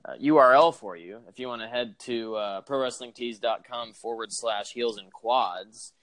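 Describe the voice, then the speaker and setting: Man, young, relaxed podcast co-host, easy filler-laden talk, dry close mic. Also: mid-range voice, moderate pace, no room sound. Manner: laid-back, filler-heavy, laughs easily. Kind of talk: casual chat.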